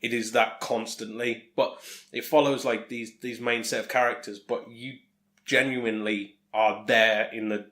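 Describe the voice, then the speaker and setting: A man's voice: mid-range voice, little pitch movement, moderate pace, a bit bright. Chatty British man hosting a podcast. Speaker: podcast host. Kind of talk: casual chat between friends. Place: room at home, no studio.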